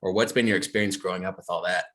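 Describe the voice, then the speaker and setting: A man hosts a music podcast with a bright, forward tone and a fairly low pitch. He asks his questions in a friendly, measured way. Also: steady tone. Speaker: podcast host. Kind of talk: interview questions.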